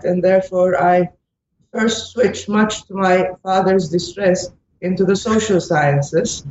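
A middle-aged woman with a Turkish accent answers as an interview guest. She speaks reflectively and haltingly, hesitating often. She sounds far from the mic in an echoey space, with faint noise behind her. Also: lowish voice, darkish tone. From Istanbul, Turkey. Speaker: interview guest, sociology professor and author. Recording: echoey, muffled, distant, noisy remote line.

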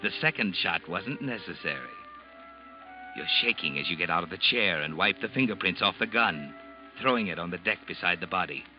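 An actor playing a knowing, sardonic, ominous narrator in a 1940s radio mystery. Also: male voice, deep voice. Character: omniscient sardonic mystery narrator addressing the villain. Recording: dull old radio recording. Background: music.

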